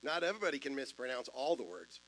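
A man in his fifties with a deep voice, preaching a sermon in a church in a conversational way, with self-deprecating jokes and asides. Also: very animated, fast, a bit bright, a little hiss or hum, low-quality recording. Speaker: pastor. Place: church sanctuary.

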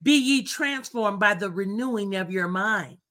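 A woman's voice: medium pitch, expressive, a little slow, pushed and bright. A woman co-hosting a faith talk show, speaking earnestly and declaring affirmations with emphasis.

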